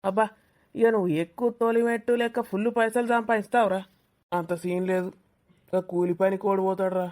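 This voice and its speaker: A woman's voice: medium pitch, level tone, fairly quick, a little mellow, lightly acted. A woman voicing a cheeky, boastful young male student in a cartoon village comedy, teasing in colloquial speech with a Telangana accent.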